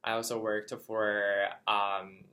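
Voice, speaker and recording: A man from China, Chinese by first language, answers a graduate-program interview in a bright, clear voice. He speaks carefully and haltingly, filling his pauses with ums and uhs as he looks for the words. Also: fairly low pitch, little pitch movement, a little hiss or hum. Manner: careful, halting with many fillers. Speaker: graduate student.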